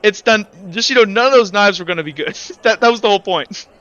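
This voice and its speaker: A man narrating as game master in a casual, improvised way, halting and full of filler words. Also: high voice, fairly bright tone, lo-fi sound.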